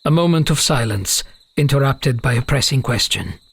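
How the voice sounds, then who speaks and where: Creaky-voiced male fantasy narrator. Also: medium pitch, animated, moderate pace, fairly bright tone, dry recording. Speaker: audiobook narrator. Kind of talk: dramatic scripted fantasy storytelling.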